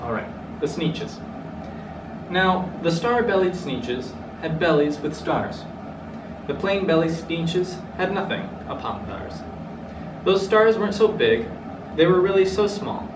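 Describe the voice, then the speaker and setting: A man's voice: on the high side, animated, unhurried. A young man reading a rhyming children's storybook aloud. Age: young adult. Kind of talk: storybook read-aloud in rhyme. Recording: roomy, distant mic, clearly noisy.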